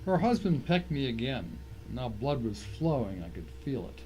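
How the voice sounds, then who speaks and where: A man's voice: medium pitch, very animated, moderate pace, dark tone, low-quality recording. A man giving a dramatic first-person reading of a short story as its narrator. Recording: faint noise and ambience behind.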